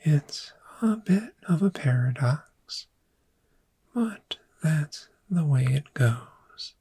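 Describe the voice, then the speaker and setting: A woman voicing a calm, patient dragon therapist in a gentle, breathy, soft-spoken ASMR roleplay, with measured, reassuring instruction. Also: deep voice, exaggerated voice. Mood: patient explaining. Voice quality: breathy, whispery.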